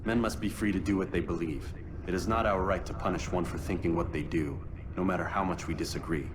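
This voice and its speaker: An actor playing a stern, principled assassin in a video game, measured, earnest and formal. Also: male voice, low voice, steady tone, background noise, natural voice. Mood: stern, resolute. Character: solemn young male assassin, principled and stern. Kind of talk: dramatic video game acting.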